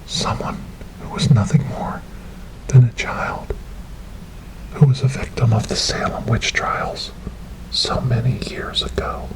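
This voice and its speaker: Slow, whispering, gentle devout rescuer played by a man. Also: mid-range voice, flat delivery, natural voice, low-quality recording. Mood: reassuring, solemn. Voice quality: soft, breathy, whispery. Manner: slow, soothing, reassuring. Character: gentle, devout male rescuer coaxing a lost ghost girl. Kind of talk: ASMR storytelling roleplay.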